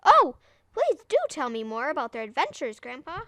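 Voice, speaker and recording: Young cartoon boy, high and bright, excited, wide-eyed, exclaiming. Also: moderate pace, no echo, studio quality, full character voice. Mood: excited, curious. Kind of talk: children's cartoon dialogue.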